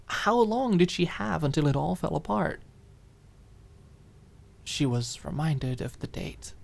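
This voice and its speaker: A woman narrating scripted audiobook fiction in a dramatic ASMR style, with expressive, deliberate phrasing. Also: low-pitched, creaky.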